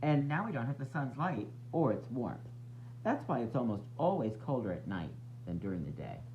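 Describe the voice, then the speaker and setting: Man putting on a lively, patient lady moth. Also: medium pitch, normal speed, muffled recording, a little hiss or hum. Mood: patient explaining. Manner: patient, explanatory. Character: Moth, gentle knowledgeable female moth explaining things. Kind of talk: read-aloud children's storybook.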